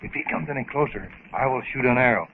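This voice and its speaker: A man's voice: mid-range voice, quick pace, natural voice. An actor playing a terse, fearless wild jungle man speaking blunt broken English in a 1930s radio serial. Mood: wary. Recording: dull, noisy 1930s radio recording.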